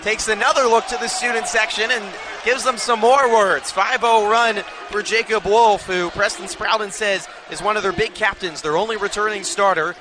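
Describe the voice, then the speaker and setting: American guy doing basketball play by play, high pitched, noisy arena and crowd behind him. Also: steady tone, normal speed, few pauses. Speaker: play-by-play announcer. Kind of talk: live TV basketball commentary. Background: crowd noise.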